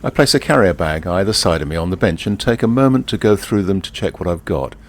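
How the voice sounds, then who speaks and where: An actor playing a wry, easygoing ordinary bloke who confides in the listener in a leisurely first-person monologue. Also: male voice, low voice, animated, fast, a little hiss or hum. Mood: wry, amused. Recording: outdoor ambience with birdsong behind. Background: birdsong and outdoor ambience.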